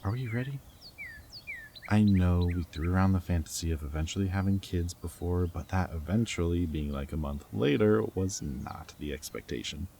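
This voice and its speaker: Very low-voiced, tender goofy rebel scientist played by a man. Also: moderate pace, dark tone, slight background noise. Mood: worried. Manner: tender, rambling, earnest. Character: goofy, affectionate young male rebel lab scientist and anxious foster parent. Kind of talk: intimate scripted roleplay audio drama, speaking to the listener.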